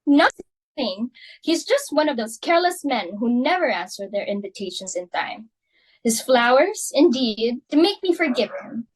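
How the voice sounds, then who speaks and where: A young woman reading the part of an excitable, fashionable society matron hosting a dinner party, fussy and emphatic. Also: fairly high pitch, low-quality recording. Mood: fussing. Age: young adult. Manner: fussy, emphatic, quick to exclaim. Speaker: reader for Mrs. Pringle. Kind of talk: student dramatic reading.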